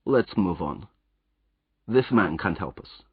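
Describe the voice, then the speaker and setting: A man voicing a suspicious, sharp-eyed heavyset German man, in a dull, dry recording. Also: deep voice, animated, takes it slowly, breathy edge, dry recording, exaggerated voice. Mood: cool.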